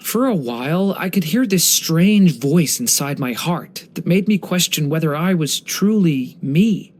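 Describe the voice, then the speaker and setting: An expressive, gentle young dragon boy. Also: on the high side, unhurried. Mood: gentle, earnest. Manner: polite, earnest, storytelling. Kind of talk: English video game character voice lines.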